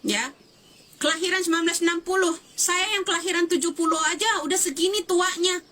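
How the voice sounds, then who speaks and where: A woman's voice: extremely high pitch, steady tone, brisk, noisy background. Fiery, emphatic woman in her fifties. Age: 50s. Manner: fiery, emphatic, repeats phrases and demands answers. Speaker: social media commentator. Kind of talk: impassioned video statement.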